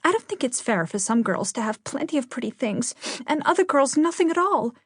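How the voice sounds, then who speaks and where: A woman's voice: high voice, big pitch swings, fairly quick, slightly rough, no echo, studio quality, pitched above the performer's own voice, exaggerated voice. A woman voicing a prim, dramatic youngest sister, a little girl with an affected, self-important manner, in an audiobook. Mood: injured.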